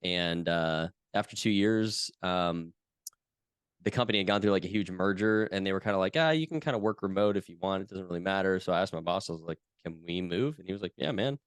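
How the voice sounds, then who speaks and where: A man in his thirties, a podcast guest from the US West Coast, talking in a relaxed, chatty way with many fillers. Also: low-pitched, fairly quick, clear, resonant tone, dry recording, clean studio sound. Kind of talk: casual conversation.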